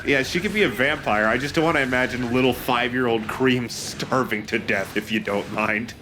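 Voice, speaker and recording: A man's voice: medium pitch, moderate pace, noisy background. A man joking casually as co-host of a let's play. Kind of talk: casual joking game commentary. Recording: game music behind voice.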